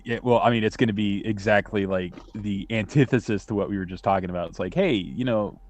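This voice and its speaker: A man's voice: on the low side, a little fast. A man co-hosting a podcast with crude, sarcastic humor. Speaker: podcast co-host. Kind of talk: casual podcast chat.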